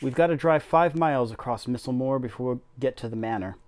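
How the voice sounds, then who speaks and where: A man's voice: mid-range voice, a little fast, darkish tone, lightly acted. A man reading aloud and voicing a stern middle-aged woman housekeeper in an attempted Yorkshire accent. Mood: curt.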